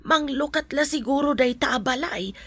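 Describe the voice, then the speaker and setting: A woman's voice: high-pitched, normal speed. A woman with an Ilocano accent playing a protective, worried mother of a grown child in a radio drama.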